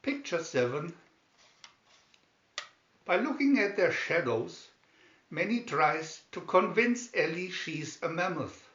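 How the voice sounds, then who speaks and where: A man reading a children's picture-story booklet aloud in scripted narration, deliberately and with pauses. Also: on the high side, sing-song, fairly bright tone. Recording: dull lo-fi, roomy echo.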